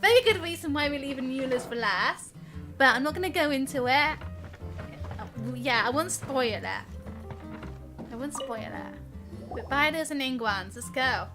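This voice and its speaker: A woman's voice: high-pitched, moderate pace, long pauses. A woman live streaming a video game with casual, giggly, exclamatory commentary, reacting playfully to the dialogue. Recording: livestream with game music behind.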